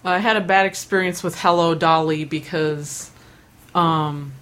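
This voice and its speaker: A woman's voice: fairly low pitch, steady tone, unhurried, fairly bright tone. A young woman rambling through casual stories, with lots of fillers, restarts and self-deprecating asides. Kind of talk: casual chat.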